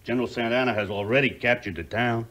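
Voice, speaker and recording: An actor playing a courteous, cautious Mexican traveler with a Mexican Spanish accent in a 1950s audio play. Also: male voice, mid-range voice, quick pace, a bit bright, lightly acted. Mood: polite, warning.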